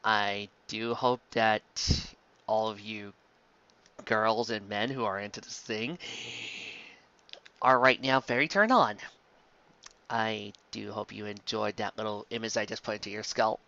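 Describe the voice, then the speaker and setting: A woman reading a fan-made story aloud as an expressive amateur narrator. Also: a bass voice, measured pace, pauses often, no room sound. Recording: dull, close lo-fi recording.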